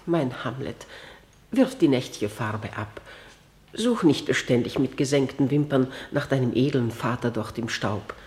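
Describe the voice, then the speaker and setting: An actress playing a gentle, pleading middle-aged queen and mother in a classical radio drama, speaking in soft, solemn verse. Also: rumbling low, animated, measured pace. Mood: gently pleading.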